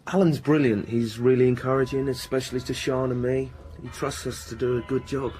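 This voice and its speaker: A man's voice: mid-range voice, fairly even intonation, brisk, darkish tone, faint music behind, lightly acted. A man playing a jaded, flirtatious university researcher in a radio crime drama, informal and sardonic. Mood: keen.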